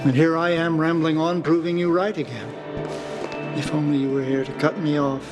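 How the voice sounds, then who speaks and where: An actor playing a wistful man mourning a lost friend in a game, rambling gently. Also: fairly high pitch, fairly even intonation, moderate pace, close to an everyday voice. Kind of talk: game cutscene voice acting. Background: game soundtrack music.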